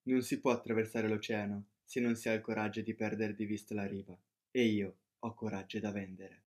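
A man's voice: fairly low pitch, flat delivery, brisk, darkish tone, natural voice. A man playing a brave, determined young ship's captain in a children's cartoon. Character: young bold navigator, brave, determined, dreamy male captain.

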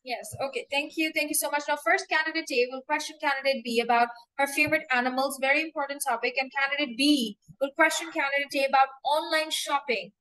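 A young adult woman acting as an English test examiner, prompting a candidate slowly and repeating model answers over a video call. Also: high voice, fairly even intonation, pushed and bright, rough recording. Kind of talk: mock speaking test, coaching.

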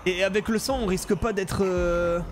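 A man streaming an improvised game roleplay, talking in an excitable, theatrical way. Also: high-pitched, normal speed, crowd noise. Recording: noisy game sound behind voice.

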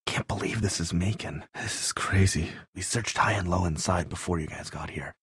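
An earnest, tech-minded survivor in a zombie video game. His voice is deep and very husky, captured close and dry. He talks naturally, a bit awkward and hesitant, with wry matter-of-factness. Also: normal speed, fairly bright tone, dry recording, exaggerated voice. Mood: uneasy, cautious.

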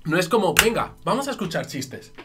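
A man's voice: high voice, brisk, music in the background. A young man with a Spanish accent chatting casually on a reaction stream. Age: young adult. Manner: lively, jokey. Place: streaming room.

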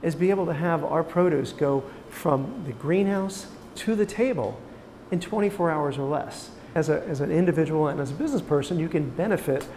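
A man's voice: a little high, fairly quick, a little mellow, a little hiss or hum. A middle-aged man giving an informal interview explanation as a business owner, recorded indoors. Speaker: farm owner being interviewed. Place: indoor room, interview setup.

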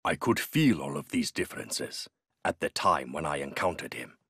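A man playing a serene, wise old yoga master in a video game, speaking in a measured, solemn way. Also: a bass voice, animated, fairly bright tone, husky, no room sound, full character voice. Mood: calm, solemn.

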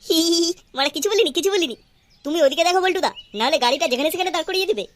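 A very high, quick-fire voice with a West Bengali accent plays a cheeky young village sidekick acted by a woman, teasing and chattering in a comic cartoon. There is noise behind the voice. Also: expressive. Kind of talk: amateur comic cartoon dub.

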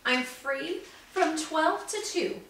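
A woman's voice: high voice, lively intonation, takes it slowly, a bit bright, voice pushed up, full character voice. Woman reading as easygoing young man, echoey room. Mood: friendly. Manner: friendly, easygoing. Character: good-natured young male student assistant. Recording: echoey room, distant mic, background noise.

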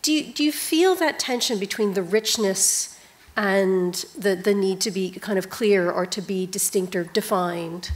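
A woman moderating a panel in a large auditorium, posing a long question in a measured way. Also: medium pitch. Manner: measured, frequent affirming mms.

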